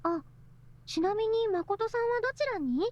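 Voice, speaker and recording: A woman's voice: very high-pitched, animated, quick pace, highs cut off. An actress voicing a cheeky, playful teenage girl in a visual novel. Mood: politely persistent. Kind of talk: Japanese visual novel voice acting.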